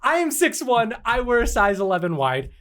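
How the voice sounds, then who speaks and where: A man's voice: very high-pitched, very animated, moderate pace, a bit bright. Man hosting a comedy podcast, casual storyteller, teasing, laughs a lot. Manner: laughs often, teasing banter. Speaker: podcast host telling the story.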